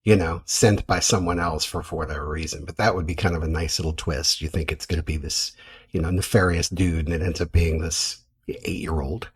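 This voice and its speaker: A man's voice: very deep voice, fairly even intonation, brisk, breathy edge. An American man hosting a podcast, chatting casually as he interviews a guest. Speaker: podcast host.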